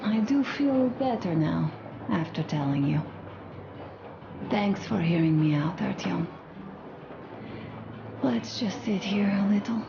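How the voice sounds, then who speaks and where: A woman's voice: mid-range voice, lively intonation, takes it slowly, long pauses, lo-fi sound. An actress playing an earnest young woman who confides her doubts intimately and emphatically in a post-apocalyptic video game. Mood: tender, relieved. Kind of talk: video game dramatic monologue. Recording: roomy, clear background ambience noise.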